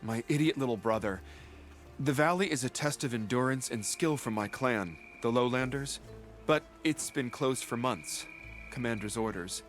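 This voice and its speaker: A man's voice: mid-range voice, a bit bright, close to an everyday voice. Male actor as exasperated game warrior, protective older brother, mid-range voice, light music behind. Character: worried, exasperated warrior man grumbling about reckless brother. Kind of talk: video game cutscene acting.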